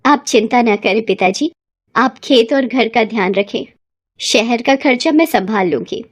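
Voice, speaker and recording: A woman's voice: fairly high pitch, measured pace. A woman voicing a determined, defiant young village daughter in a cartoon moral story, firm and earnest.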